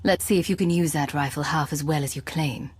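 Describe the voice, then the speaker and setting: A woman's voice: low voice, a little fast, breathy edge, no echo. An actress playing a cool, composed female secret agent with a dry, controlled manner, in a video game.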